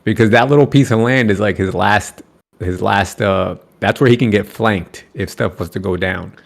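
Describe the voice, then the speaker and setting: Gravelly low-voiced man arguing casually. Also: normal speed. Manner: animated, argues with acted quotes. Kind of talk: casual podcast chat.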